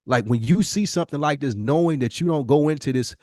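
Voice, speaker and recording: A man's voice: fairly high pitch, fast, a little mellow, dry recording, clean studio sound. A man chatting casually and candidly as a podcast co-host, using plenty of slang. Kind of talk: casual conversational podcast chat.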